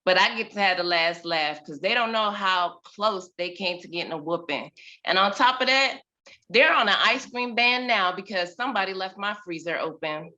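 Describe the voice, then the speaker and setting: A woman in her thirties, a mother, telling a personal story on a video call with expressive, dramatic delivery and big swings in loudness. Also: medium pitch, normal speed, highs cut off.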